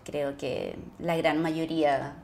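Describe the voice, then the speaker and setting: Chilean woman speaking in halting reflection. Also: sits low, steady tone, creaky, a loud crowd. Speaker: actress being interviewed. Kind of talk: reflective conversational interview.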